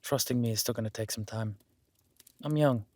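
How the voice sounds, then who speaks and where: A man's voice: fairly low pitch, mellow, no room sound, lightly acted. Man playing a hesitant, modest young werewolf duke. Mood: earnest, modest. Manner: hesitant, self-deprecating, informal, halting. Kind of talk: intimate audio roleplay acting.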